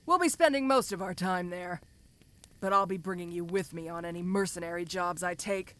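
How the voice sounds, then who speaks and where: A woman's voice: lowish voice, normal speed, fairly bright tone, natural voice. A woman voicing a domineering giant ogre mercenary in an audio roleplay, speaking to the listener in a casual, commanding, teasing way. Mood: reassuring, teasing. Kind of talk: first-person audio roleplay addressed to the listener.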